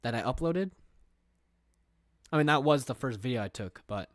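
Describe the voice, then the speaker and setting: A man's voice: medium pitch, lively intonation, normal speed, no room sound, clean studio sound. A young man from England chatting casually on a livestream from his room, rambling with fillers and reading viewer questions aloud. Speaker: streamer.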